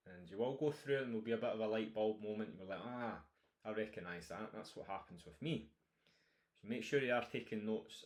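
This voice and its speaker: A Scottish man, a nutrition coach, talking informally through a recorded course introduction with many fillers and restarts. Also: lowish voice.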